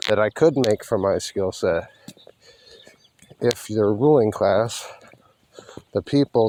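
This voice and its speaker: A man giving a rambling, folksy and wry walking vlog monologue, speaking haltingly and with strong opinions as he walks outdoors along a road. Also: fairly low pitch, a little mellow, a little hiss or hum. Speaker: vlogger.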